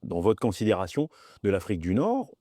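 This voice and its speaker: A man's voice: fairly low pitch, wildly swooping pitch, a little fast, mellow, dry recording, clean studio sound. A man asking probing interview questions, stumbling and rephrasing as he goes.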